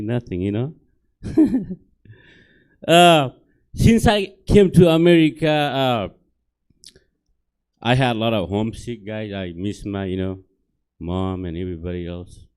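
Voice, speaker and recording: Middle-aged Nepali-accented male comic, broken English, chatty, mid-pitched, stage mic. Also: measured pace, vocal fry, long pauses, a little mellow, clear, resonant tone. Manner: simple broken English, chatty, keeps asking the crowd "right?". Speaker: stand-up comedian. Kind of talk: stand-up comedy set. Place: comedy theater stage.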